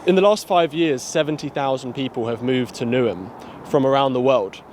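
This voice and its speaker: A man's voice: medium pitch, brisk, darkish tone. A man interviewing passers-by on a city street, asking plain, probing questions. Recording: street noise in background.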